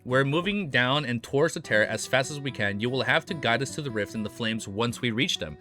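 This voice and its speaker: A man voicing a calm, easygoing young man in a relaxed, matter-of-fact way, read aloud from a novel. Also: mid-range voice, brisk, faint music behind, natural voice.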